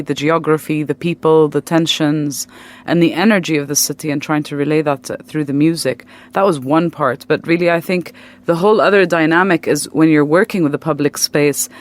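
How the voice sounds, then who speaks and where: A woman talking as an artist guest in a radio interview, explaining in a conversational way and pausing to find words. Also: deep voice.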